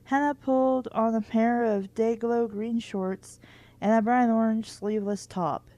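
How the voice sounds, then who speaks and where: A woman's voice: fairly high pitch, fairly even intonation, measured pace, dark tone, rough recording. A woman reading a children's book aloud in an informal way, stumbling over words at times and adding wry comments of her own. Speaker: host of a book reading series.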